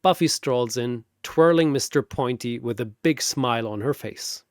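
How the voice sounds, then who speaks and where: A man delivering dramatic, deliberate, wry scripted narration of a comic fantasy battle show. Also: medium pitch, no room sound, studio quality. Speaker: narrator and announcer.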